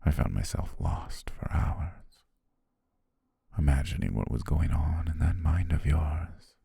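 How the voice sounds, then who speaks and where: Man acting as obsessive yandere photographer, male, heavily creaky, very husky and breathy, dark, slow, intimate ASMR delivery, softly menacing. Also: extremely low pitch, animated, no room sound, exaggerated voice. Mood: adoring, obsessive. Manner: slow, hushed, lingering pauses.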